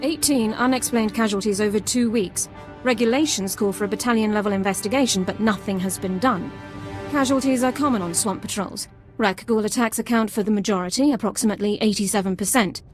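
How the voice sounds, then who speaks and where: A woman's voice: mid-range voice, fairly quick, close to an everyday voice. Actress doing strict army sergeant lady, very by the book, game dialogue with music behind. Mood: formal, grave. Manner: formal, regimented, clipped military phrasing. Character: crisp, by-the-book female military sergeant, formal and dutiful. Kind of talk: video game character acting.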